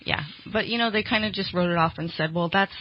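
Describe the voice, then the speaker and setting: A woman's voice: lowish voice, quick pace, no room sound. Woman, political candidate, conversational answers, hesitant explanations, dry acoustics, muffled radio audio. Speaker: political candidate being interviewed. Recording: dull, lo-fi radio interview audio.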